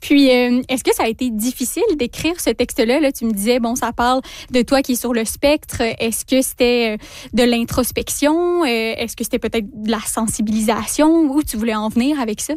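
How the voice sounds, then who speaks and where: A woman's voice: on the high side, normal speed, darkish tone, dry recording, clean studio sound. A woman interviewing a guest, asking friendly, inquisitive questions.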